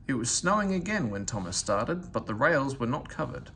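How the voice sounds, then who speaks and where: A man reads a storybook for children with animated, varied intonation. His voice has a gravelly, creaky edge. There is faint noise in the recording. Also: medium pitch, normal speed. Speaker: storybook reader. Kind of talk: expressive read-aloud narration for children.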